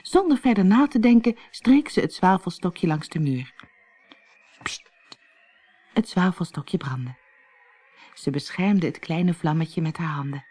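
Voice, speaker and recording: A woman narrating a scripted fairy tale as an audiobook, in an expressive, dramatic storytelling manner. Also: fairly low pitch, a little slow, long pauses. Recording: music behind the voice. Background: music.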